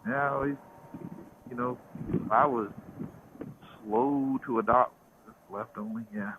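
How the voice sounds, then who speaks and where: A man's voice: medium pitch, fairly even intonation, takes it slowly. Southern man rambling on a motorcycle. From American South. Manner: rambling, storytelling. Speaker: vlogger. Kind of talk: casual chat while riding. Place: outdoors on a motorcycle. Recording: tinny intercom with faint road noise.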